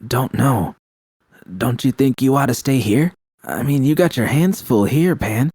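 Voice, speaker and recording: A man voicing a troubled woman mercenary in a story, anxious and halting in speech. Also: mid-range voice, darkish tone, husky, no echo, clean studio sound. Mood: doubtful. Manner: halting, hesitant.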